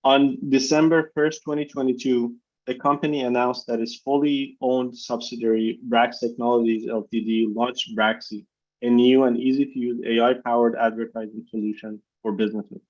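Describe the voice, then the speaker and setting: A man's voice: mid-range voice, little pitch movement, normal speed, darkish tone. A man hosting a video conference call as chief executive, formally reading prepared corporate remarks. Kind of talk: scripted corporate presentation on an earnings call. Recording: dull, lo-fi conference call audio.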